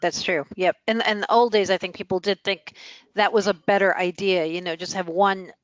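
A woman's voice: medium pitch, steady tone, normal speed, no echo, low-quality recording. A woman hosting a casual podcast chat, talking conversationally with short affirmations. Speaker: host.

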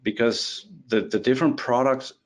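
A man's voice: mid-range voice, steady tone, moderate pace. A man from Denmark with a Danish accent, a guest on a casual podcast chat, who restarts and repeats words often.

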